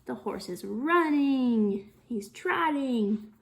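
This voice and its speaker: Female children's storytime reader, high voice with big swoops, sing-song and interactive, speaking to little ones. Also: animated, gravelly. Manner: sing-song, slow and deliberate, with exaggerated expression and frequent questions. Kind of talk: interactive read-aloud of a picture book for young children.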